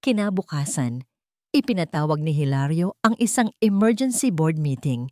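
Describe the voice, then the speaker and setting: Low-voiced Filipino woman narrating, close and dry. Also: expressive, moderate pace, darkish tone, no room sound. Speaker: story narrator. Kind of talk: scripted dramatic narration of a romance story.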